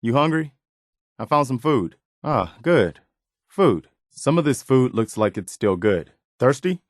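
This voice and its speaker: A man voicing an earnest, steadfast militia officer in a video game, speaking in a sincere, measured, reassuring way. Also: mid-range voice, big pitch swings, dry recording, studio quality. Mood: casual, helpful. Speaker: companion character in a video game.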